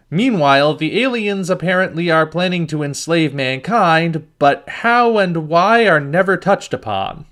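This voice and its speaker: A man's voice: fairly high pitch, fairly bright tone. A man reading a scripted review as host, with a wry, critical manner.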